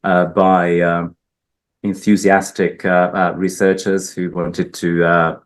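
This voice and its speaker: Deep-voiced hesitant man. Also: level tone, takes it slowly. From Hungary. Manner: hesitant, many fillers and restarts. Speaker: linguist guest. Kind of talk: interview answers. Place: research institute building.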